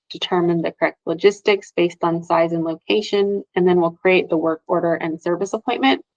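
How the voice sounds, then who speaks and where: A woman's voice: on the low side, no room sound, highs cut off. A woman giving an explanatory business presentation over a band-limited video call.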